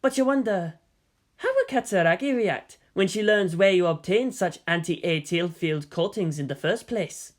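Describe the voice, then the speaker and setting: A narrator voicing a tolerant old former commander in a story, speaking in a measured, formal, dryly musing way. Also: medium pitch, expressive, natural voice. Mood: calm musing.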